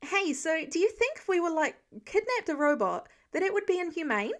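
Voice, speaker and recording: A woman's voice: very high-pitched, very animated, brisk, voice pushed up. A woman voicing an eager, curious teenage boy superhero, chatty and rambling, in an audiobook-style story.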